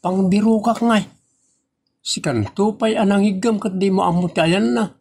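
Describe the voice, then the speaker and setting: A man acting the part of a fussy husband in a homemade comedy skit, complaining and teasing in quick bursts. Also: high-pitched, creaky, a little mellow, breathy edge, exaggerated voice. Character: fussy husband, protective of his leather shoes.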